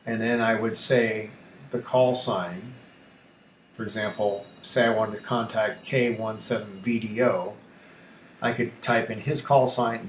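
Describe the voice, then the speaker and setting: A man thinking aloud through a casual screen tutorial as a hobby radio host, halting and muttering to himself as he works. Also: mid-range voice. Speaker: amateur radio YouTuber, host. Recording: echoey room with faint background noise.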